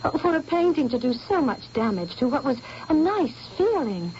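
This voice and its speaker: An actress playing a haunted young artist's model, speaking softly and earnestly in an old radio drama. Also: female voice, high voice, very animated, normal speed, a little hiss or hum. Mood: pleading. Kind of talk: 1970s radio drama acting. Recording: dull lo-fi old radio recording.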